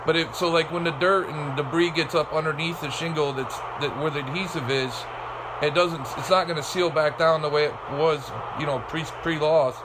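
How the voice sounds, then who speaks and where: Halting man on a very noisy call. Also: on the high side, fairly even intonation. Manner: halting, repeats words and restarts often. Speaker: public insurance adjuster. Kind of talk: questioning on a recorded phone call. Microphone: telephone call recording. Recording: very noisy recorded phone call, clicks.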